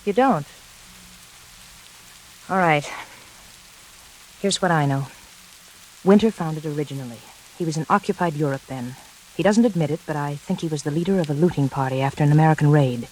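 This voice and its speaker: An actress playing a cool, seductive schemer in a 1940s radio thriller, smooth-talking and coaxing. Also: female voice, low-pitched, moderate pace, long pauses, darkish tone, lightly acted, poor audio. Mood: confiding, seductive. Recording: hissy 1940s radio recording, rain noise.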